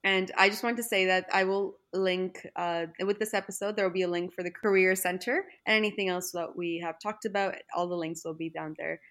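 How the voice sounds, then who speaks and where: Woman podcast host warmly wrapping up. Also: fairly low pitch, fairly even intonation, brisk, few pauses. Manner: friendly, effusive thanks. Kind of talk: podcast wrap-up.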